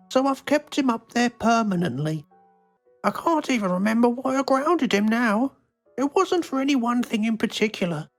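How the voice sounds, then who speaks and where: Woman playing a callous, animated mother. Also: fairly high pitch. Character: stern, callous mother of a grown son.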